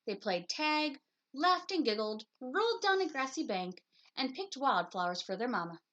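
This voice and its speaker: A woman reading a children's story aloud in an expressive storytelling style. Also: sits high, normal speed, muffled recording, a little hiss or hum. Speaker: picture book reader.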